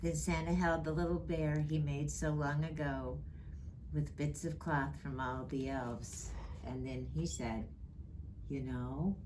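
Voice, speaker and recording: A woman reading a rhyming children's story aloud in a measured, expressive storytelling way. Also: sits low, background noise. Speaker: storybook reader in character as Mrs. Claus. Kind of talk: scripted children's storybook narration in rhyming verse.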